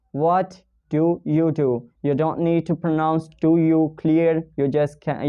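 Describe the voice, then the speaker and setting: A slow-speaking teacher with a Pakistani accent walks through pronunciation examples, repeating each phrase and checking in often. The voice is mellow and smooth. The recording sounds dull and lo-fi. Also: mid-range voice. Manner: non-native English, repeats examples, checks in with "okay?". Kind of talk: casual online pronunciation lesson.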